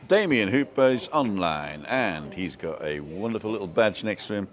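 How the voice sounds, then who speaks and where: A man from Manchester with a soft local English accent, hosting a casual live stream and chatting with viewers as he reads their comments. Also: lowish voice, expressive, moderate pace. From Manchester, England.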